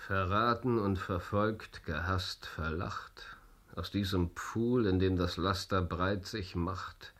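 An actor playing a proud, embattled, sardonic playwright in a radio drama, declamatory and biting. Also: male voice, low voice, slow, a bit bright. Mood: declamatory despair. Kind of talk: theatrical radio drama acting.

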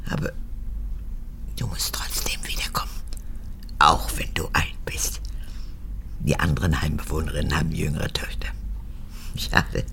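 A woman's voice: very deep voice, steady tone, measured pace, vocal fry, long pauses, a bit bright, heavily acted. An actress playing a frail, cracked-voiced old woman, a needy and spiteful mother with a childlike wheedling manner, in a radio drama. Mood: clinging, plaintive. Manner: wheedling, childlike, swinging between whisper and outburst.

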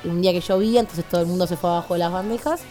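A woman's voice: fairly low pitch, rapid-fire, mellow, faint music behind. A young woman with a Rioplatense Argentine accent, chatting and teasing casually as a radio columnist.

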